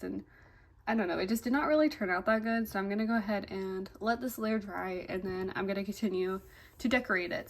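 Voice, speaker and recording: A young woman vlogging a toy unboxing in a casual, chatty way, full of "like" and "um", sounding a little congested. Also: mid-range voice. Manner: chatty, fillers "like" and "um", easily delighted. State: slightly congested.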